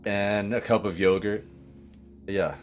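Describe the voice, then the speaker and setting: A man improvising casually as a tabletop player, deliberate and dryly comic. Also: lowish voice, steady tone, soft background music. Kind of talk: casual improvised role-play.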